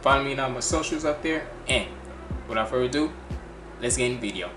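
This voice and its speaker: Young Jamaican man hosting a casual reaction stream on webcam, quick slangy delivery, roomy bedroom sound, music in background. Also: medium pitch. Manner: fast, animated, slangy chatter with frequent restarts. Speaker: reaction channel host. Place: small bedroom indoors. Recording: echoey room with music playing.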